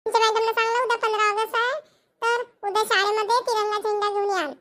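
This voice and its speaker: Tiny spoiled cartoon girl, super squeaky high n sharp, begging, vidarbha marathi accent. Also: flat delivery, fast. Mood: eager. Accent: Maharashtrian, Vidarbha Marathi. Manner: childlike, pleading. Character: pampered, pleading little village girl. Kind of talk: cartoon family comedy dialogue.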